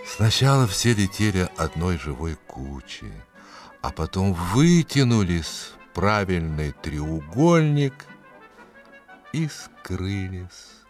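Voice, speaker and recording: A man narrating a scripted fairy tale in an expressive, dramatic storytelling manner. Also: low voice, slow, faint music behind.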